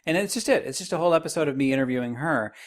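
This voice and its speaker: A man talking casually as a podcast guest, feeling his way through sentences haltingly. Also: on the high side, lively intonation. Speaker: podcast host as interview guest. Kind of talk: casual podcast panel chat.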